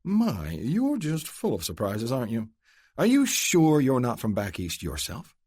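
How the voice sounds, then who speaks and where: A man's voice: medium pitch, huge pitch swings, a little slow, darkish tone, slightly rough, dry recording, studio quality, pitched above the performer's own voice, exaggerated voice. A man voicing a cool, charming young upper-class woman with a Philadelphia accent and a poised manner.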